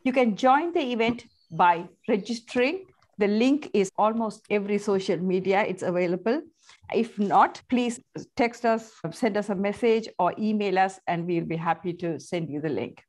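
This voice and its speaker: Middle-aged woman, talk show host on a video call, earnest and welcoming, mid-pitched. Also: moderate pace, a little mellow. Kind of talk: hosting an online talk show. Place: home, virtual studio background.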